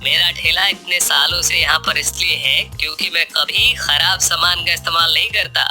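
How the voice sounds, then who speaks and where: Lowish, forceful dishonest vendor voiced by a woman. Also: steady tone, moderate pace. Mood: falsely reassuring. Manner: insistent, reassuring. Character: smooth-talking, dishonest male biryani street vendor. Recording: music bed behind the voice.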